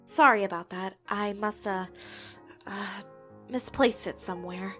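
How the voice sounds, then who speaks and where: A woman's voice: medium pitch, unhurried, pushed and bright. A woman playing a frank, reflective young professor in a comic dub, with music behind her voice. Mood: gentle, friendly. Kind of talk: dramatic voice acting and reflective narration for a comic dub.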